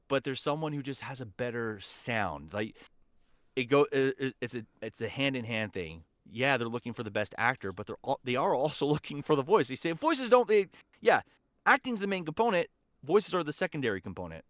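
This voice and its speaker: A man's voice: medium pitch, a bit bright, no room sound, clean studio sound. A man speaking as an interview guest and voice acting teacher, giving encouraging, emphatic advice in a conversational way. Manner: encouraging, emphatic with repeated key phrases. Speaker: voice actor and teacher, podcast guest.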